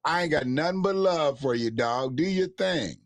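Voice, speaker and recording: A man's voice: fairly high pitch, animated, moderate pace, faint music behind. A man co-hosting a live stream, chatting in laid-back, profane slang and agreeing with his partner. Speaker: co-host. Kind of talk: casual live stream chat.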